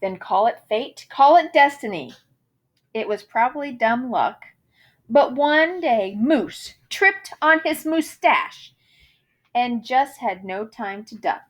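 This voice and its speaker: A woman's voice: fairly high pitch, expressive, slow pace. A woman reading a children's picture book aloud in a theatrical manner, savoring the rhymes and wordplay with dramatic emphasis. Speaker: storybook reader.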